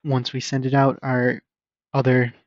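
Flat-toned man giving a software walkthrough. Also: medium pitch, unhurried, no echo. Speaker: software demo presenter. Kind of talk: casual screen-share software walkthrough. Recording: dull lo-fi microphone recording.

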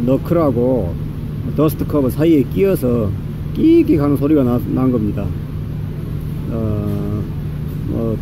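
A man's voice: medium pitch, background noise. A middle-aged man who works as an auto mechanic, talking casually into a handheld phone in a large indoor repair garage and explaining each step while he works. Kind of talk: casual workshop vlog narration.

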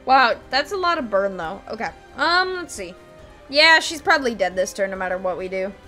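Young female streamer, gleeful and sweary, lively pitch, faint music under her. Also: normal speed. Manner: gleeful, laughs often, swears casually. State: voice slightly strained. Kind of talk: casual gameplay commentary. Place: small room at a gaming desk. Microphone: desk mic, webcam at computer. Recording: game music faintly behind.

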